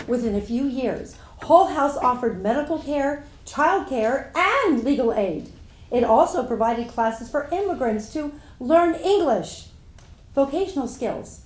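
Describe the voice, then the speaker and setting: A woman's voice: a little high, a little mellow. Woman playing an earnest woman reformer, echoey room. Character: Jane Addams, earnest, proud woman social reformer recounting her life. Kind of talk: scripted first-person dramatic monologue, read on stage. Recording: echoey room, live stage reading.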